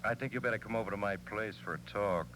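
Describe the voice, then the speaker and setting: An actor playing a cold crook and blackmailer, tough and clipped, in 1940s radio crime drama style. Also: male voice, lowish voice, fast, bright, forceful tone. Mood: coolly threatening. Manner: tough, clipped gangster talk. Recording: faintly noisy vintage radio recording, hum.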